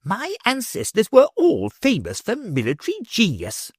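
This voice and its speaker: A man's voice: sits high, wildly swooping pitch, moderate pace, breathy edge, no room sound, clean studio sound, pitched above the performer's own voice, full character voice. A man reading an audiobook, voicing a fat, boastful little nobleman in a pompous, simpering manner.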